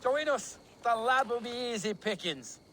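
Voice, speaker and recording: A worldly monk with a hard past and a fairly high male voice. He speaks with wry confidence, in the manner of a historical video game drama. There is faint ambience behind him. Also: lively intonation, unhurried, a bit bright, slight background noise, full character voice. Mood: jovial, wry. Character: wry, worldly scarred monk, formerly sinful fighter.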